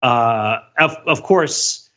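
A man's voice: medium pitch, very slow, pushed and bright. A man co-hosting a podcast, explaining things in a wordy, analytical way in casual pop-culture discussion. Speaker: podcast host.

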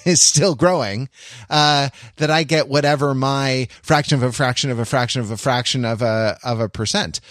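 A man co-hosting a podcast, explaining his thoughts in a halting way with many restarts. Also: medium pitch, fairly bright tone, no room sound, clean studio sound. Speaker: podcast co-host. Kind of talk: casual chat.

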